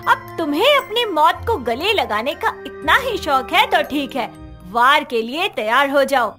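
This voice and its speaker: A proud young shape-shifting serpent woman, acted by a woman, speaks in a high, menacing voice. She declaims like a cartoon heroine with a fierce temper, over a music bed. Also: very animated, unhurried, bright, forceful tone, exaggerated voice. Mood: angry, threatening. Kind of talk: animated story dialogue.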